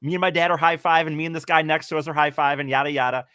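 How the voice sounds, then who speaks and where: A man co-hosting a podcast, telling stories casually in a loud, animated way. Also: a little high, rapid-fire, clear, resonant tone, dry recording, studio quality. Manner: loud-voiced, animated storyteller.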